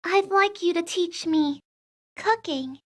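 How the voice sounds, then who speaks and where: A woman's voice: very high voice, slow. A woman playing an earnest, affectionate, sheltered young princess with a girlish manner in a video game fandub. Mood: hopeful, shy.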